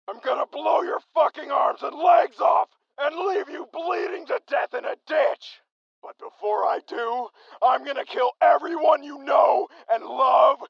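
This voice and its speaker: A furious raider gang boss in a wasteland radio play. His voice is very high and strained, thick with gravel. He spits curses and vicious threats, in dull, close sound. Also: no echo, exaggerated voice. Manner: shouting, swearing, menacing. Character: enraged foul-mouthed raider gang boss, cruel and vengeful. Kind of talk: post-apocalyptic audio drama acting.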